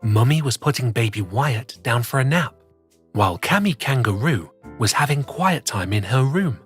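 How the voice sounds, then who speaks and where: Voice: mid-range voice, animated, normal speed, slightly rough, soft background music. A children's story host presenting and reading a storybook expressively, in a playful way that speaks straight to young listeners.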